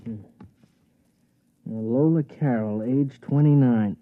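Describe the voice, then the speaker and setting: An actor playing an excitable male sidekick in a swindle in a 1950s radio drama, talking in eager, jumpy slang. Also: mid-range voice, slow, close to an everyday voice. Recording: dry, dull vintage radio recording.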